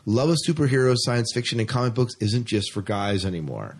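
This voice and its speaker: A man's voice: medium pitch, animated, fairly quick, slightly rough. A man hosting a podcast, talking casually and chattily about sci-fi news with an enthusiastic, informal manner. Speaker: podcast host.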